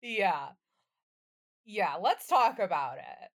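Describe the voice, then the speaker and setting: A woman's voice: mid-range voice, big pitch swings, slow, a bit bright. Wry woman bantering as podcast co-host. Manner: wry, quippy. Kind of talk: casual banter.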